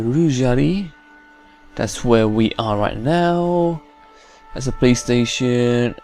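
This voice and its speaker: A man's voice: mid-range voice, darkish tone, a little hiss or hum. A man giving casual let's play commentary, thinking aloud and often repeating words as he goes. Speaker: gamer.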